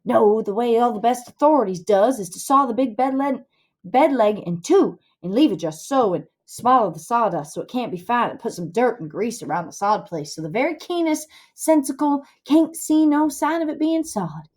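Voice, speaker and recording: A bossy young schemer from a storybook, acted out by a woman, lecturing in rustic dialect. The voice is on the high side and swoops up and down as he grandly scorns anyone more practical. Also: expressive, moderate pace. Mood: excited, scheming.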